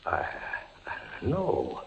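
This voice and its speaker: An actor playing a tormented, fearful condemned soldier, halting and theatrical in 1940s radio style. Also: male voice. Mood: anguished, fearful. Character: tormented condemned male prisoner, former soldier, fevered and fearful. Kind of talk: 1940s radio acting, dramatic monologue. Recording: muffled, noisy old radio broadcast.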